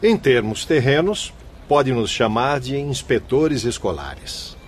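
A man's voice: medium pitch, animated, slow pace, noisy background. A man playing a dignified spirit school inspector in a radio drama, formal and explanatory. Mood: polite, informative.

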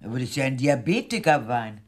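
An actress playing an eccentric, superstitious aunt of about sixty in a German radio drama, with a theatrical, scatterbrained manner. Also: female voice, a bass voice, very animated, fast talker, full character voice. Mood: breezy. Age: older. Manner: theatrical, scatterbrained, abrupt shifts of emphasis. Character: eccentric sixty-year-old aunt, superstitious, impulsive, tarot-obsessed. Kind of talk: German radio crime-comedy acting.

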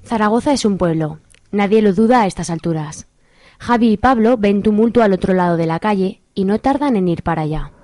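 A woman's voice: medium pitch, fairly quick, dark tone. A woman narrating a scripted comic radio play as a storyteller.

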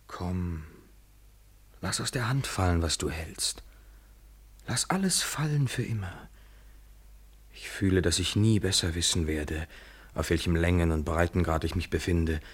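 Deep, raspy, yearning lover. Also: male voice, a little slow. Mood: tender, urgent. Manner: intimate, lyrical, sometimes near whisper. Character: tender, yearning male lover, a foreigner. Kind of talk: poetic radio play acting.

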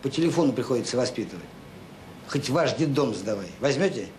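A man's voice: medium pitch, lively intonation, a little mellow, natural voice. Actor as busy factory boss guy, mid voice, jokey and haggling, radio drama, bit of noise. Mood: brisk, joking. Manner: brisk, jocular, bargaining. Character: busy, jocular male enterprise boss who haggles. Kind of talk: naturalistic Soviet radio drama acting.

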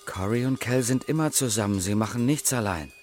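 An actor playing an uneasy, brooding amateur accomplice in a heist, anxious and questioning, in a radio crime drama. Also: male voice, mid-range voice, brisk, a little mellow, soft background music, close to an everyday voice. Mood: nervous.

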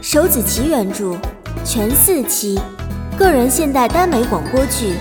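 A woman reads a scripted title announcement. Her voice is somewhat high and lively in intonation. Music is clearly present behind her. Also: a little slow.

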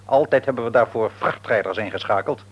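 A man's voice: mid-range voice, a little fast, muffled recording, lightly acted. An actor playing a shrewd, patronising middle-aged father and businessman in a Dutch radio play, wordy and probing, with a Netherlands accent. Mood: brisk, businesslike. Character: shrewd, patronising businessman father, outwardly jovial, secretly domineering. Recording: radio drama recording.

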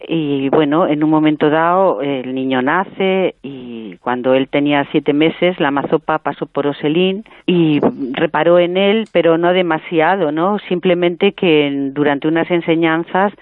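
A woman's voice: sits low, unhurried, few pauses, dry recording, phone-quality sound. A middle-aged or older woman telling her own story as an interview guest. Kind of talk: personal storytelling in a radio interview.

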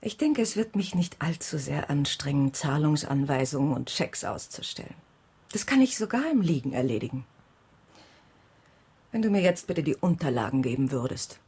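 A husky-voiced, stubborn wife in a radio drama. She is a businesswoman stuck in bed, impatient and used to control. Her pitch is lowish and rough-edged. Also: animated, normal speed, darkish tone, exaggerated voice. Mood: stubborn, irritated. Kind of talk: radio crime drama acting.

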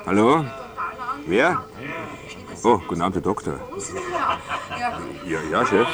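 An actor playing a harried, muttering night-shift radio technician in a radio drama. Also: male voice, on the low side, big pitch swings, slow. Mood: harried, deferential. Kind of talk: German-language radio drama acting.

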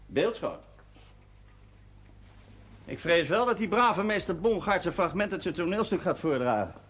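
Genial, bossy party-giving husband, 1970s TV drama, higher-pitched and very animated. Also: male voice, measured pace. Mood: busy, wry. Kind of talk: naturalistic 1970s television drama acting.

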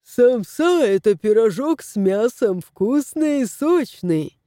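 A woman voicing a sly old male vet who speaks slow, broken Russian. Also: a little high, sing-song, dark tone, no echo, clean studio sound.

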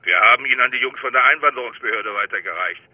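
An actor playing a chatty, teasing police lieutenant heard through a telephone filter. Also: male voice, medium pitch, fast, no room sound. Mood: wry, relishing. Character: chatty, wry male police lieutenant, teasing old colleague. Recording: narrow, raspy telephone line.

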